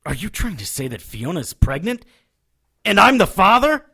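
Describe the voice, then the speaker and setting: A man's voice: high voice, very animated, normal speed, bright, forceful tone, husky, full character voice. A man playing a sarcastic, impatient, world-weary reluctant champion in an audio drama, wisecracking with exasperation. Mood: shaken.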